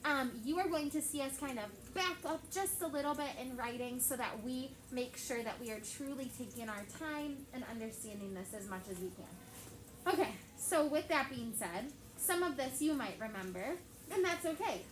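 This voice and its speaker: Young teacher lady, fairly high voice, says "like" a lot, quizzing the kids, noisy room. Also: lively intonation, moderate pace. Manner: chatty, asks the kids many questions, heavy use of "like". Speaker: elementary school teacher. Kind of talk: classroom read-aloud lesson. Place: classroom with children on a carpet. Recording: echoey classroom with background noise.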